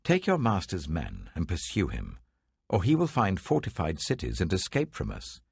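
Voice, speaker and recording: A man's voice: sits low, wildly swooping pitch, moderate pace, gravelly, a little mellow, slightly rough, no room sound, studio quality, heavily acted. A man voicing an ancient king in a scripture reading, authoritative and worried. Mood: urgent, worried.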